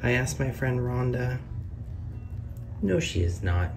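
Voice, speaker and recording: A man's voice: mid-range voice, expressive, slow, creaky, darkish tone, distant mic, soft background music. A man reading a children's picture book aloud in a sing-song way, lifting his voice on each question and reveal. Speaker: read-aloud narrator. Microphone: camera over the book, hands on pages.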